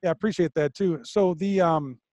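A man's voice: a little high, a little fast, mellow, no echo, lo-fi sound. Man on a headset mic, dry sound. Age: 40s. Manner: thinks aloud with fillers and repeated starts. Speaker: podcast host. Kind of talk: conversational interview. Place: home studio with a flag behind, indoors.

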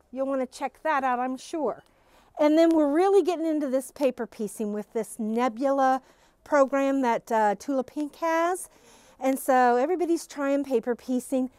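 A woman's voice: sits high, a little mellow, clean tone, dry recording. A middle-aged woman giving a casual, gushing tour of new stock in a quilt shop, talking through a face mask to a phone camera. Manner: bubbly, gushing enthusiasm. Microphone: phone camera following her, wearing a face mask.

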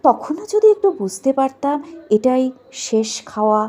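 A woman's voice: high voice, big pitch swings, a little slow, warm tone, exaggerated voice. A woman reciting as the ghost of a murdered young woman doctor, speaking to her mother in an anguished, tearful, pleading way. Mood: sorrowful. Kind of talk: dramatic recitation.

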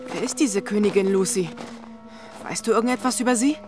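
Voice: mid-range voice, fairly quick, lightly acted. An actor playing a sensible, polite older boy who leads his siblings in a German audio drama. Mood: concerned. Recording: music under the voice.

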